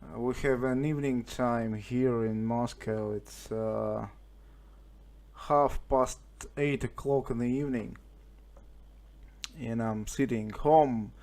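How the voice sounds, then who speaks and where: Slow, hesitant Russian-accented man, mellow voice. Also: mid-range voice, fairly even intonation. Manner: hesitant, halting, searching for words. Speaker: amateur radio operator. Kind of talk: casual radio contact chat. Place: at home, indoors.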